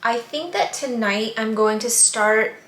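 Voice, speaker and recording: A woman vlogging casually about her plants, rambling with fillers while her voice is going hoarse. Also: on the high side, a little slow, a bit bright, roomy sound. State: losing her voice. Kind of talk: casual vlog chat.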